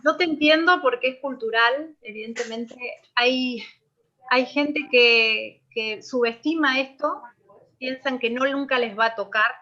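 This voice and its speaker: Bright-voiced woman presenting a webinar. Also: high-pitched, measured pace. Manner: reads points as a list, then talks freely. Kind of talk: online seminar presentation and Q&A. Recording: muffled video-call audio.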